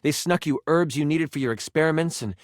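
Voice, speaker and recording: An actor playing a theatrical game master, narrating a fantasy adventure in a dramatic, suspenseful way. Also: male voice, a little high, brisk, no room sound, clean studio sound, close to an everyday voice. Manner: dramatic, suspenseful second-person storytelling.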